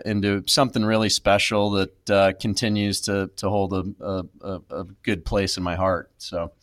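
A man's voice: lowish voice, fairly bright tone, clean tone, no echo, clean studio sound. A man in his thirties, a podcast guest, speaking reflectively with many fillers and restarts. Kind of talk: casual interview.

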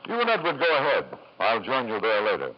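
A man's voice: mid-range voice, wildly swooping pitch. An actor playing a domineering, controlling middle-aged husband and father in 1950s radio drama style. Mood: commanding. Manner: brisk, commanding, controlling. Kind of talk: 1950s American radio acting. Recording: dull old radio broadcast.

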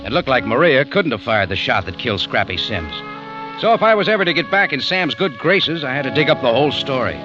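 A man acting a dogged American private-eye type from vintage radio drama, clipped, hard-boiled and skeptical. His delivery swings up and down in pitch, on a dull old recording with prominent music behind him. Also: sing-song, a little fast, natural voice. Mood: terse narrating. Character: dogged, wisecracking male amateur detective and cafe owner. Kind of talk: old-time radio detective drama, first-person narration.

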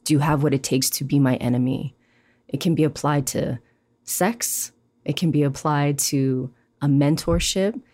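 Low-voiced poet woman. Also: moderate pace. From Hawaii. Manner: thoughtful, animated, many "um" and "you know". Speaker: poet, guest. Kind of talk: reflective conversational interview.

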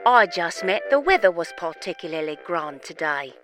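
An actress playing a polite, deferential English radio show assistant in a mannered old comedy of manners. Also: female voice, on the low side, sing-song, moderate pace, over music. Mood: polite, bright.

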